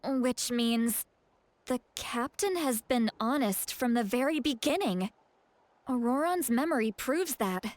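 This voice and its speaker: A woman's voice: on the high side, lively intonation, a little slow, a little hiss or hum. A woman playing a proud, blunt, feisty shaman in a video game, youthful-sounding and haughty. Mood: serious, decisive. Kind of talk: animated game voice acting.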